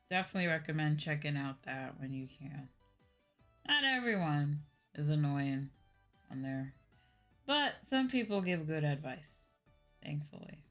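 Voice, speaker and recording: A woman's voice: low-pitched, a bit bright. A woman streamer chatting casually and giving advice in a conversational way, often repeating herself for emphasis. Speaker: streamer host. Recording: background music. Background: music.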